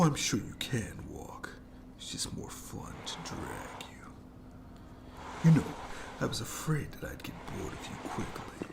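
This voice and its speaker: A performer voicing a sadistic, gloating monster in a creaky whisper for horror ASMR, with slow, theatrical, mockingly polite menace. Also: mid-range voice, full character voice. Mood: mocking, menacing. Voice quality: breathy, heavily creaky whispery voice. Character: gloating sadistic monster jailer lurking under the bed. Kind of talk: scripted horror ASMR roleplay.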